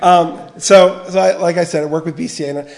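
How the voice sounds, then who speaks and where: A man's voice: fairly high pitch, fairly even intonation, fairly quick, gravelly, darkish tone. Earnest middle-aged American man teaching. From United States. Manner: earnest, restarts phrases often. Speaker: biblical counseling trainer. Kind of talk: church teaching talk.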